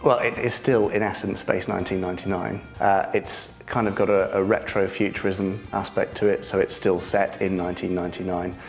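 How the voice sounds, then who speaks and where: A man explaining the series in a measured way in an interview. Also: deep voice, little pitch movement, faint music behind. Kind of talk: casual interview.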